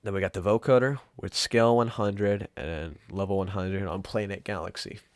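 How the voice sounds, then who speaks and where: A man giving a casual, off-the-cuff software tutorial in an offhand, rambling and informal way. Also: deep voice, steady tone, moderate pace, dry recording. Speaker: tutorial presenter.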